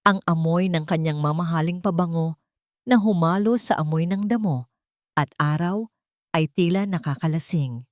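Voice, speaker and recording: A woman with a Filipino accent giving a measured, expressive narration of a scripted romantic audio drama. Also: fairly low pitch, dry recording, studio quality.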